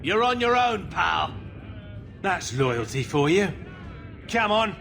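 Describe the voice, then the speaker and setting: An actor playing a drunken, boastful, cowardly guard in a video game, with a rough, colloquial way of talking. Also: male voice, high-pitched, big pitch swings, slow, a bit bright, faint music behind, full character voice. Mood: drunken bluster. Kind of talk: video game cutscene acting.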